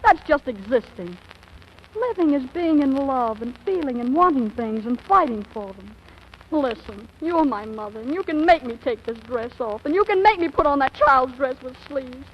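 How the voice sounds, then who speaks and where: Melodramatic, high-voiced teenage girl. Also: expressive, moderate pace, slight background noise. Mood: earnest, defiant. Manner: melodramatic, pleading. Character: impetuous, lovesick fifteen-year-old girl. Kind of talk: 1940s radio drama acting. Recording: muffled old radio recording.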